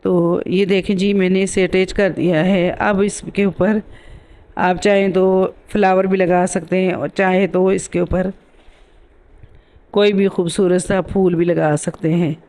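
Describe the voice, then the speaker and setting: A woman's voice: on the low side, level tone, a little slow, darkish tone. Woman narrating with a sore throat. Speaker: craft video host. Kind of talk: casual DIY tutorial voice-over.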